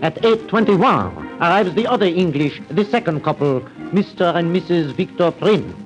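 An actor playing a fussy, excitable French hotel manager with a French accent and broken English in a radio play. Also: male voice, high-pitched, moderate pace, faint music behind, rough recording. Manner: theatrical, exclamatory, broken English.

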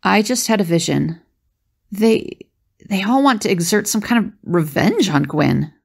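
A mid-pitched, very expressive female voice with plenty of fry , an actress's. She is a perceptive, worried adventurer in an audio drama, sensible and caring. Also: unhurried, exaggerated voice. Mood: urgent.

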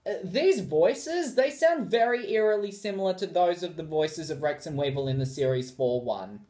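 A man's voice: sits high, animated, moderate pace, mellow. A man in his twenties giving casual, sarcastic and campy reaction commentary, recorded indoors a short way from the mic. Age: 20s. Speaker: reaction video host. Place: small bright room indoors.

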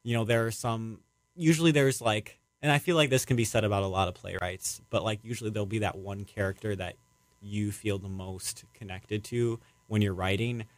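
A man's voice: on the low side, steady tone, brisk, no echo. A young man answering interview questions as a playwright, casually, in a hesitant, rambling and reflective way.

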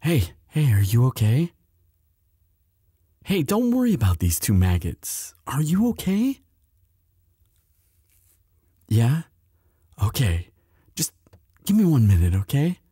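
A man's voice: medium pitch, wildly swooping pitch, unhurried, long pauses, dark tone, slightly rough, dry recording, studio quality, exaggerated voice. A man playing a sweet, protective young demon university student in an intimate audio roleplay addressed to the listener. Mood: protective concern. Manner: hesitant stammering when shy, firm when angry.

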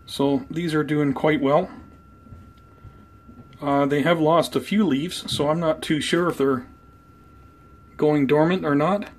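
A man giving an unhurried, conversational show-and-tell as a hobby vlogger, talking casually indoors. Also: on the high side, fairly even intonation. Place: indoors at home by plant shelves. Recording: clear background noise, room ambience.

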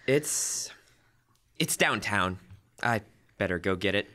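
A man's voice: on the low side, animated, takes it slowly, very noisy. A young man playing an earnest, flustered, apologetic husband in old-time radio comedy. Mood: flustered, evasive. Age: teens or young adult. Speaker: high school student actor. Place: school library room. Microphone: stand microphone.

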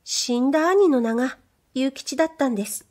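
A shy young maidservant, whispering and bashful, her voice lifted high and light, as a woman narrator puts her on. She speaks softly and hesitantly, in a gentle storybook reading. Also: lively intonation, a little fast. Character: shy young maidservant girl, soft-spoken.

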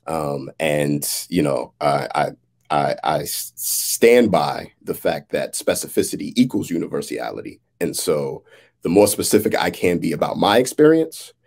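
An American man in his thirties in reflective conversation, speaking in a halting, thoughtful way as a playwright. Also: a bass voice, animated, creaky, a little mellow. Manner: halting, thoughtful, often checks in with "right?". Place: indoors, on a video call. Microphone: video call.

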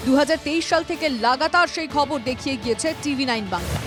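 A woman's voice: high voice, fast talker, music in the background. A woman reading a scripted news report in a dramatic, emphatic way. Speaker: news narrator.

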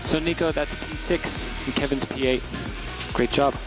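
A man's voice: mid-range voice, steady tone, normal speed. A man acting as race engineer, passing information over team radio. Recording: radio transmission under music. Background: music and car noise.

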